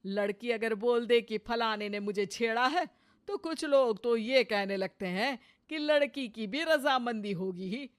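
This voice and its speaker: Woman doing careful older saas in a cartoon story, slow gentle scolding, resigned advice. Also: a little high, no echo, clean studio sound, lightly acted. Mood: cautioning. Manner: gently admonishing, resigned counsel. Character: cautious, respectable older mother-in-law. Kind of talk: cartoon moral story acting.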